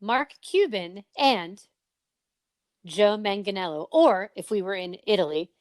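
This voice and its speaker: A woman's voice: mid-range voice, measured pace, no room sound, clean studio sound. A woman hosting a podcast in a casual, chatty and playful way, with exclamations as she runs quizzes and interviews. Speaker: podcast host.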